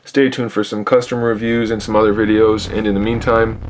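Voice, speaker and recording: A man reviewing an action figure in a casual, critical way, sounding tired and a little hoarse from losing his voice. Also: on the low side, flat delivery, fairly quick, slight background noise. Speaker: toy reviewer. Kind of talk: casual product review, off-camera voice.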